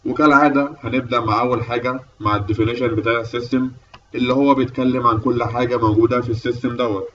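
A man's voice: medium pitch, fairly even intonation, very fast, recorded from a distance, highs cut off, a little hiss or hum. A man with an Egyptian Arabic accent giving a methodical, explanatory lecture as an instructor, step by step. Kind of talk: explanatory lecture over slides.

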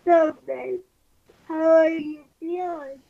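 Very high, creaky-voiced woman, muffled playback. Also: fairly even intonation, slow pace. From United States. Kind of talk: ASMR couple roleplay, intimate chat.

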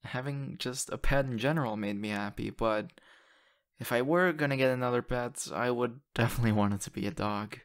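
Guy playing an excitable sappy boyfriend in an asmr roleplay, mid-pitched male voice, gushy and stammery, close on the mic. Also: fairly quick, natural voice. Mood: earnest, nervous. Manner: gushing, hesitant, stammering. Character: excitable, sappy young boyfriend who adores dogs. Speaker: voice actor in boyfriend audio roleplay. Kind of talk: ASMR audio roleplay, conversational acting. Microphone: close condenser microphone.

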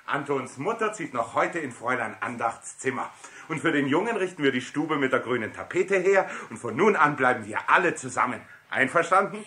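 A man's voice: mid-range voice, lively intonation, a little fast, pushed and bright. A man playing a firm but good-humoured company director and father, emphatic and decisive, in a 1960s German children's radio play. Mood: cheerful.

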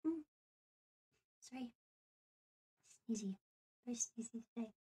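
High, husky-voiced woman in casual chat. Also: a little slow, mellow.

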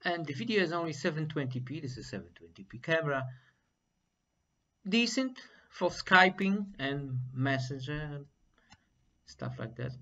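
A man in his thirties, not a native English speaker, casually reviewing a laptop in plain, halting English and apologizing for his language. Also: fairly high pitch, animated, bright, forceful tone, reverberant, muffled recording. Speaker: tech reviewer. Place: hot room at home with a construction site nearby. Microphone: laptop built-in mic and camera. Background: buzzing construction noise.

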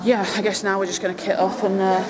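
A woman's voice: mid-range voice, level tone, fast. A young woman vlogging to camera, chatty and self-mocking, rambling as she talks through her day from her car and then a changing room. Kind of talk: casual vlog to camera. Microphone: wired earphone mic. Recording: loud background noise.

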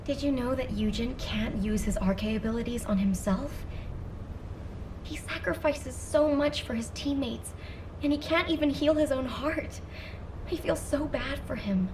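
A woman's voice: sits high, unhurried, far from the mic, background noise. A woman playing a kind, confident young female soldier in a video game, caring toward her teammates. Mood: tender, concerned.

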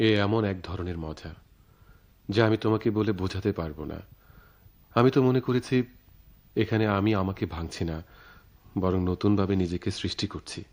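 An actor playing an idealistic young writer in love in a radio drama, poetic and earnest, with a Bangladeshi accent. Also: male voice, deep voice, moderate pace, pauses often, darkish tone, close to an everyday voice. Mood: earnest, defiant. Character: romantic, idealistic young male writer, devoted eloping lover. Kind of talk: theatrical radio drama acting.

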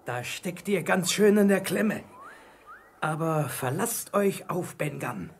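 An actor playing a marooned, repentant old pirate in a German radio play, wary and eager, with a husky, creaky voice and a theatrical, confiding manner. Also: male voice, fairly high pitch, expressive, takes it slowly, a little hiss or hum. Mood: eager, reassuring.

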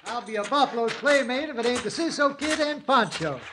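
A folksy high-voiced townsman. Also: fairly quick. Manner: folksy, drawling. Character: Ed Mason, folksy old-west clerk man. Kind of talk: 1950s radio drama acting. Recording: noisy old radio broadcast.

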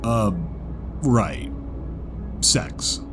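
A man voicing a reserved, awkward zebra wanderer in an audiobook drama, hesitant and measured. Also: mid-range voice. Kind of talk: audiobook character acting. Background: music.

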